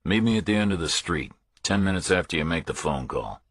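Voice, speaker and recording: A man voicing a stubborn, hard-boiled lone operative in an audiobook, terse, flat and resolute. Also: sits low, brisk, slightly rough, dry recording, studio quality, exaggerated voice. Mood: calm, decisive. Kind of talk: audiobook character dialogue.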